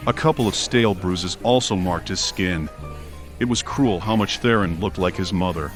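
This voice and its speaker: A man's voice: low-pitched, normal speed. A man reading scripted fiction as an audiobook narrator, telling the story in prose. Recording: faint music behind narration. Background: faint music.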